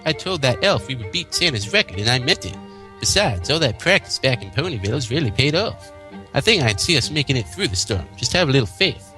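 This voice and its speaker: A man's voice: medium pitch, very animated, a little fast, sharp tone, soft background music. A man voicing a cocky, brash female pony in a fan fiction read-aloud. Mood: confident.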